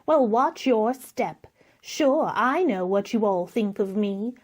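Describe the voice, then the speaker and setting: Woman playing a slow, sneering, brash dame. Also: mid-range voice, animated. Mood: sneering, threatening. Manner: sneering, deliberate. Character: brash, common, menacing woman from Pittsburgh. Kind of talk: radio play acting.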